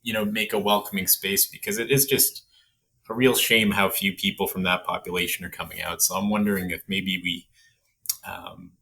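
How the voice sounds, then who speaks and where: Man from Canada, podcast host, rambling and goofy, low and forceful tone. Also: steady tone, moderate pace, slight background noise. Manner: goofy, rambling, laughs often. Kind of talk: casual interview.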